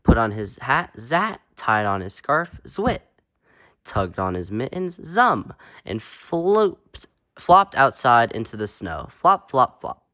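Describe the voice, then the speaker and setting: A man's voice: medium pitch, sing-song, takes it slowly, sharp tone, dry recording. A man reading a children's picture book aloud with playful, sing-song emphasis and punchy sound-effect words. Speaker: read-aloud storyteller.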